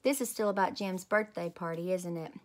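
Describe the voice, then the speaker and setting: A woman's voice: on the low side, fairly quick, lightly acted. Woman reading as a patient, coaxing mother.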